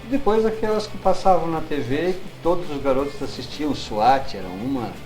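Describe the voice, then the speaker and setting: A middle-aged man with a Brazilian accent, reminiscing as an interview guest in an unhurried, halting way, searching for his words. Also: a little high, darkish tone, faint music behind, poor audio. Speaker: guest writer.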